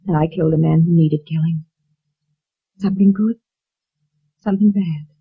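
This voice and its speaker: An actress playing a calm, resolute woman killer in a 1940s radio noir, deliberate and melodramatic. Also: sits low, vocal fry, husky, exaggerated voice. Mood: cold, resigned. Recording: muffled tinny 1940s radio recording.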